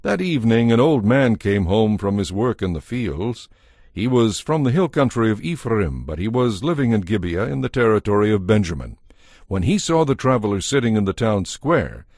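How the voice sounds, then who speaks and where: A man narrating a dramatized Bible as a scripted story, in a measured storytelling manner. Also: fairly low pitch, big pitch swings, a little mellow, no room sound, studio quality.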